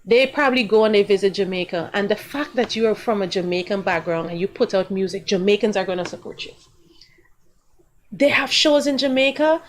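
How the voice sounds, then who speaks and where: A woman from Guyana, a singer-songwriter guest, talking at length in a casual interview with emphatic, lively rises in her voice. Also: medium pitch, fairly quick, a little hiss or hum.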